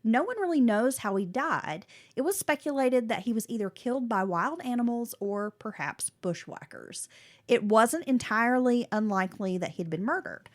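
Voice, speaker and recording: A woman's voice: fairly high pitch, expressive, normal speed. Woman podcast host, chatty, tells the story with lots of ums. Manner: conversational, fillers and restarts while telling history. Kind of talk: casual chat and story narration.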